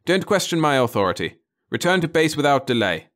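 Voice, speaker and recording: A man's voice: on the high side, big pitch swings, brisk, a bit bright, pitched above the performer's own voice. A man voicing a curt, authoritative aquatic admiral in an audiobook. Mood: curt, stern. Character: stern, commanding aquatic admiral over communicator. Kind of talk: audiobook character voice.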